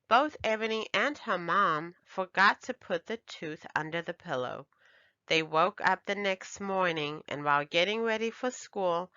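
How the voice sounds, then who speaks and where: A woman's voice: fairly low pitch, sharp tone, dry recording, lo-fi sound. A woman narrating a children's storybook as a scripted read-aloud for young readers.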